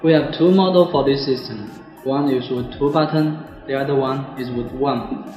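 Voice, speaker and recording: A man's voice: mid-range voice, normal speed, darkish tone. A man, giving a scripted product demonstration. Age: 20s. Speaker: product presenter. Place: bare indoor office or classroom. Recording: very echoey room, music behind.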